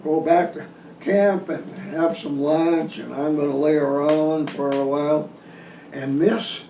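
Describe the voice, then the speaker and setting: A man giving an informal instructional hunting talk in a halting, folksy way, repeating and restarting his phrases. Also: fairly high pitch, fairly even intonation, dark tone. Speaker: deer hunting instructor hosting a video seminar. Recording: roomy echo, distant mic, some noise.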